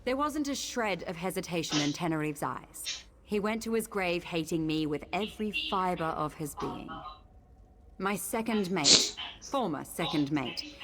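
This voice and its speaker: A woman's voice: on the low side, animated, unhurried, very noisy. A woman voicing a guilt-ridden, exiled female sea captain in a video game, speaking in a grim, remorseful way.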